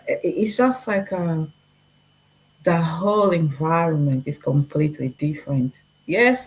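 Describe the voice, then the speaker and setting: A woman in her forties, from Portugal, telling her own story in a relaxed podcast chat with frequent pauses and fillers. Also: deep voice, slight background noise, low-quality recording. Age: 40s. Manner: reflective, laughs easily, many fillers. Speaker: guest. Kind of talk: casual podcast conversation.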